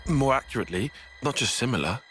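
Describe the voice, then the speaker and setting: A man's voice: mid-range voice, expressive, fast talker, slight background noise, natural voice. An actor playing an analytical young male soldier in a video game, speaking in a formal, precise way. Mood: grave, reasoning. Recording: loud music behind.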